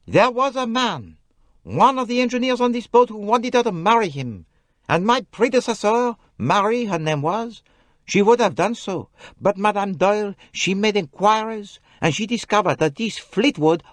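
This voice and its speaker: A man's voice: sits high, a bit bright, no room sound, voice pushed up. A man voicing a young French lady's maid with a French accent, talking in a pleading, emphatic way with broken English phrasing. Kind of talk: audiobook character voice.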